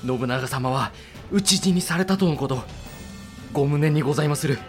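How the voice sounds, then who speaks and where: High, urgent loyal merchant retainer acted by a man. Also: normal speed, faint music behind, close to an everyday voice. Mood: grave, urgent. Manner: deferential archaic speech, emphatic. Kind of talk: Japanese period audio drama acting.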